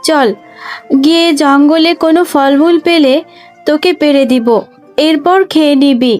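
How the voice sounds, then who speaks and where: A woman's voice: high-pitched, steady tone, a little slow, a little mellow, faint music behind. A woman voicing a caring mother fox in a children's fairy-tale cartoon, talking in a soothing, explanatory way.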